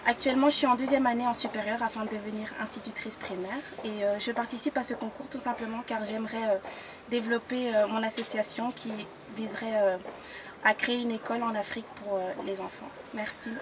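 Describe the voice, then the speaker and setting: A twenty-one-year-old woman introduces herself as a pageant contestant, pausing often on fillers. Her voice is fairly high. Steady background noise runs under her. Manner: hesitant, many fillers. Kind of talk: spoken self-introduction.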